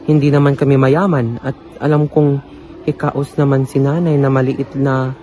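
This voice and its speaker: A man's voice: medium pitch, normal speed, faint music behind, close to an everyday voice. A young man playing a lonely eighteen-year-old student who thinks he is ugly, brooding in inner monologue. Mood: frustrated. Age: young adult. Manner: brooding inner monologue turning to excited chatter. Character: lonely 18-year-old male student, self-pitying, calls himself ugly. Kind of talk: amateur radio drama acting.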